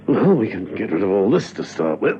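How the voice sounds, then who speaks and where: An actor playing a suave, unflappable British gentleman secret agent in a classic spy radio drama, courteous and dryly witty, with a smooth voice. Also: on the low side, very animated, brisk, background noise. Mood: brisk. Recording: muffled vintage radio recording.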